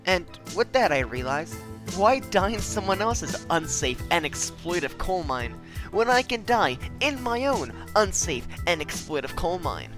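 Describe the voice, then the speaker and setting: A young man narrating his gaming footage in a deadpan, mock-epic style, swearing casually. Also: a little high. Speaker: gaming vlogger. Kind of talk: comedic scripted narration over gameplay.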